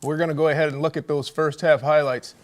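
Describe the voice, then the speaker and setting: A man's voice: a little high, flat delivery, fast. A man hosting a football coaches' show, talking with his guest in an interview style. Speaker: host.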